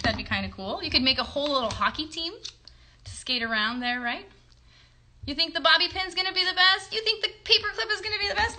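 Female kids' show host, thirties, high-pitched and bright, casual and encouraging, phone livestream from home. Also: a little hiss or hum. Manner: encouraging, questioning, talking to young viewers. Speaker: children's puppet show host. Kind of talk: casual craft demonstration for children. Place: bedroom at home. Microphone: phone at about arm's length.

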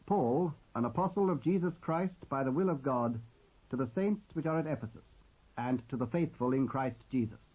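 A man's voice: mid-range voice, normal speed, breathy edge. A British man reading scripture from a script. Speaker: Bible reader. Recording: muffled old cassette transfer.

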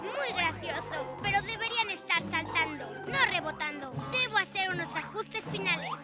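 A woman's voice: extremely high pitch, animated, moderate pace, a loud crowd. A woman voicing a scheming, boastful boy villain inventor in a cartoon dub, with a pompous, taunting manner. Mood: taunting, bossy. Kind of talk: animated cartoon dub acting. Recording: loud music behind the voice.

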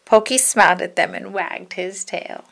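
A woman narrating a children's storybook in an expressive, deliberate storytelling manner. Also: mid-range voice, creaky. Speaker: storybook read-aloud narrator.

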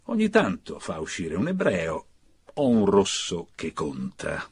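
Sneering, animated Fascist militiaman. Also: deep voice, a little slow, husky, heavily acted. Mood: sneering, confiding. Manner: vehement, sneering, conspiratorial. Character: cynical, defiant Fascist militiaman informer in 1940s Italy. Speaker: radio drama actor. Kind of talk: radio drama acting.

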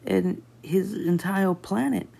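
A woman voicing an excitable, anxious, childlike gem playmate in an amateur cartoon comic dub, stammering and slangy. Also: lowish voice, slow pace, gravelly, slightly rough, exaggerated voice. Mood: panicked, anxious.

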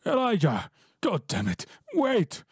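A man playing a massive, gruff male dog fighter who speaks in a halting, apologetic way. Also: mid-range voice, expressive, dark tone, dry recording, clean studio sound, full character voice. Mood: pleading.

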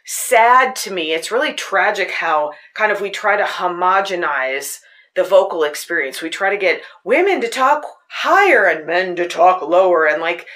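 A woman with a fairly low voice hosting a podcast, telling personal stories in an earnest, searching way. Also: lively intonation, normal speed, a bit bright. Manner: earnest, personal storytelling with frequent restarts. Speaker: podcast host. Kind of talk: casual spoken reflection. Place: Tucson.

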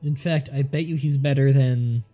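A man's voice: medium pitch, steady tone, normal speed, mellow, soft background music. A man with a nasal voice, stuffed up a little more than usual, giving casual commentary over a strategy game and chatting to its characters. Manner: chatty, thinks aloud, talks to game characters. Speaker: let's play commentator.

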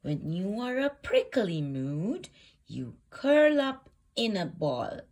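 A woman reading a children's storybook aloud as host, with expressive, deliberate phrasing meant for young children. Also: mid-range voice.

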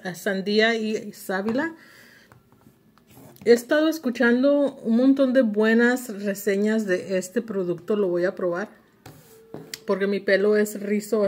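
A woman giving a casual product haul review, mixing English words into her speech. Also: medium pitch. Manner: mixes English words into Spanish. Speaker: vlogger. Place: indoors at a table at home.

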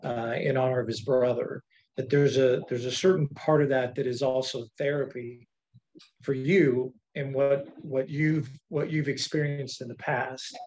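A man's voice: mid-range voice, steady tone, unhurried, darkish tone, lo-fi sound. A man moderating a video call interview, polite and deferential, addressing guests as sir. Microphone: webcam mic on video call.

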